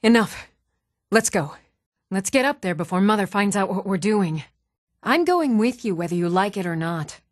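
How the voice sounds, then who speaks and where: An earnest young woman of a fantasy game world, played by an actress. She speaks in a measured, serious way at a middling pitch. The sound is dry, with very little room to it. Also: animated, no echo, lightly acted. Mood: resolute, troubled. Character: earnest, even-toned young fantasy townswoman and adventurer. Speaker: voice actor. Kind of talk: video game character dialogue.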